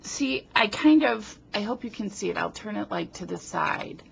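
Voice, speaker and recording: A woman's voice: medium pitch, expressive, normal speed, gravelly, husky. A woman from New Jersey hosting a casual card-making tutorial, chatting and joking about herself while she works, sniffling a little. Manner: chatty, self-deprecating, talks while working. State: sniffling from a runny nose. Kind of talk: casual craft demonstration. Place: home craft table, indoors. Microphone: camera on tripod above the table.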